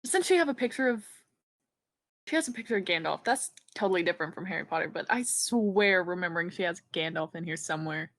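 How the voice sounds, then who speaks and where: A woman's voice: fairly low pitch, lively intonation, quick pace, fairly bright tone. A woman co-hosting a livestream with casual, chatty commentary. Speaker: livestream co-host.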